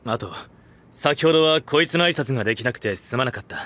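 A medium-pitched young male idol leader in an idol drama. He speaks coolly and courteously. His manner is formal and restrained. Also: expressive, fast talker, bright, forceful tone. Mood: calm, apologetic.

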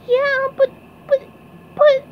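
A woman voicing an eager, naive young male cartoon sponge for a storybook, squeaky and excitable. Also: slow pace, muffled recording, a little hiss or hum. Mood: pleading. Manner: excitable, pleading, sputtering when flustered.

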